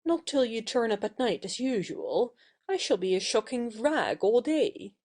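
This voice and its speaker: A woman playing a playful, wilful young actress in an audio play, brisk and coquettish with her suitor. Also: on the high side, animated, a little mellow. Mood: wilful, teasing.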